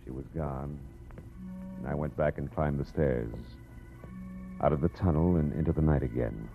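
An actor playing a hard-boiled, world-weary private eye who narrates in a dry, brooding tone, in a 1940s radio drama. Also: male voice, very deep voice, moderate pace, vocal fry. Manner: dry, brooding, tough-talking. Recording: muffled old radio broadcast with music.

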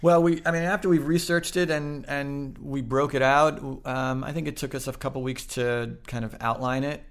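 Man with a gravelly, creaky voice, mid pitch, relaxed interview chatter. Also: normal speed. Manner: conversational, asks with filler words. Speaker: series co-creator. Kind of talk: casual interview.

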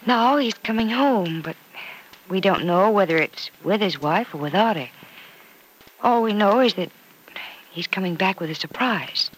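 An actress playing a warm, earnest young woman in an old radio soap opera, speaking with sighs, hesitations and small exclamations. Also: medium pitch, expressive, unhurried, long pauses, slightly rough. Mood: hesitant, concerned. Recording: noisy vintage radio drama recording.

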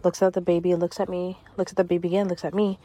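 A woman's voice: lowish voice, level tone, quick pace, warm tone. Woman telling a funny story. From California. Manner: self-mocking, storytelling. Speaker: caller sharing a story. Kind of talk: voice message anecdote.

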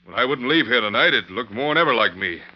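A man's voice: mid-range voice, expressive, quick pace, close to an everyday voice. An actor playing a firm, authoritative frontier man in an old-time radio western, speaking plainly. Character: brisk, authoritative western lawman or ranch foreman. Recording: dull vintage radio recording.

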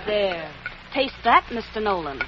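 A woman's voice: medium pitch, lively intonation, natural voice. A woman playing a spunky young typist. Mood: eager. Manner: brisk, chatty, eager. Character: spunky young jobless typist, chatty and pushy. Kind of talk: 1940s radio acting. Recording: dull old radio recording, hiss.

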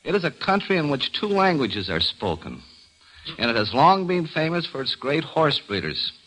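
A man's voice: fairly high pitch, very animated, normal speed, bright, forceful tone, poor audio. A man on a classic radio broadcast, acting as guest quizmaster and reading scripted geography clues in a formal, descriptive way. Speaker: guest quizmaster, travel guide.

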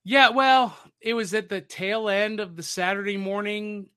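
A man with a high, bright voice chats casually as a podcast host. He talks nostalgically about pop culture and often pauses, feeling his way toward the next phrase. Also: steady tone, clean tone.

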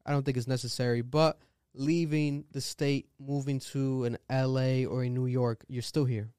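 A man's voice: mid-range voice, little pitch movement, normal speed, dark tone, clear, resonant tone, no room sound, clean studio sound. A man hosting a casual interview, hyped and affirming, with a slangy way of talking. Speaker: interviewer host.

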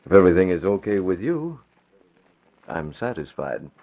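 Deep, confident radio crime-fighter. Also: male voice, sing-song, moderate pace, over loud music. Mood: polite, assured. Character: calm, confident crime-fighting newspaper publisher, a man. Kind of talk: 1940s radio drama acting. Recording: muffled vintage radio recording.

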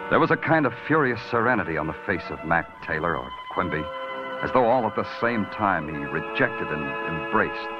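Vintage radio noir detective: world-weary, terse, brooding, music behind, dull old recording. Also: male voice, low voice. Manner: terse, sardonic, poetic in narration. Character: hard-boiled, brooding, world-weary male police detective. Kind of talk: 1950s hard-boiled radio drama acting.

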